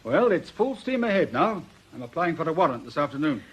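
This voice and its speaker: An actor playing a confident, newly promoted police inspector in a radio drama, colloquial and brisk, with a British accent. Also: male voice, on the high side, sing-song, slightly rough. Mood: frank, confident. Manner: brisk, colloquial, self-assured.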